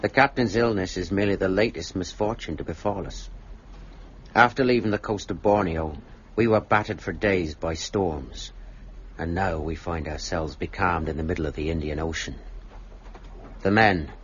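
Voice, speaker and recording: An actor playing a sober, uneasy ship's first mate, speaking formally and gravely in a period drama. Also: male voice, deep voice, moderate pace, a bit bright. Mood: grave, brooding. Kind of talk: period radio drama, log narration and dialogue. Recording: dull recording with faint noise.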